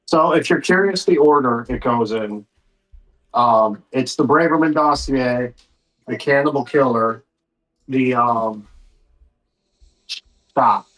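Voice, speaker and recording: A man's voice: mid-range voice, takes it slowly, long pauses, a bit bright, low-quality recording. A man running a tabletop game as game master, talking casually with the players.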